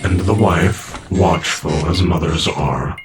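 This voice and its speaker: Deep, slow male narrator. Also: expressive, background noise. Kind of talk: scripted audio drama narration.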